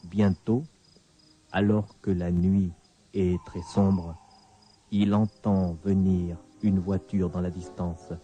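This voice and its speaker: A man narrating a radio story in a dramatic storytelling style, with measured, deliberate phrasing and pauses. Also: low-pitched, flat delivery, dark tone. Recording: dull lo-fi radio recording. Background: clear music bed.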